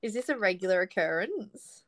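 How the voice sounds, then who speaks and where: A woman's voice: mid-range voice, very animated, normal speed, no room sound, clean studio sound. A woman in her late twenties co-hosting a casual podcast, giggly with eager reactions. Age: 20s. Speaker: podcast co-host. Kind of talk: casual chat.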